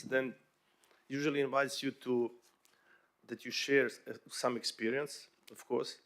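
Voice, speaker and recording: Man from Bosnia, English, bank executive on a panel, halting speech, frequent fillers and restarts, mid-range voice. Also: medium pitch, fairly bright tone. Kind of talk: conference panel remarks.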